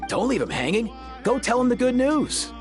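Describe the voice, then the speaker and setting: A man's voice: very high-pitched, sing-song, moderate pace, over music, full character voice. A man voicing a kindly, earnest young healer in a video game. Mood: grateful, encouraging. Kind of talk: video game character acting.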